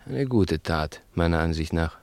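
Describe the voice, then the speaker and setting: An actor playing a bossy, mocking burglar leading a murder plot in a 1960s radio play. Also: male voice, low-pitched, lively intonation, measured pace, gravelly, a little mellow, no room sound, exaggerated voice. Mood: cool, deliberate. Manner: patronizing, needling, commanding. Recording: 1960s radio drama recording with music.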